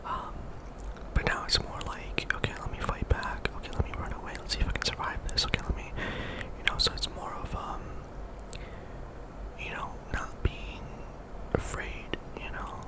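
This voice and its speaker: A young man speaking in a breathy whisper, giving casual, rambling ASMR commentary over gameplay and often repeating words. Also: moderate pace, poor audio. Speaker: host of a gaming ASMR channel.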